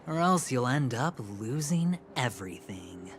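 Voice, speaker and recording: An actor playing a smooth-talking, vain young gambler in a video game, with a flamboyant, self-satisfied delivery. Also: male voice, medium pitch, sing-song, measured pace, a little hiss or hum. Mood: smug, threatening. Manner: suave, smug, flamboyant. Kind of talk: video game character acting. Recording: music playing behind the voice.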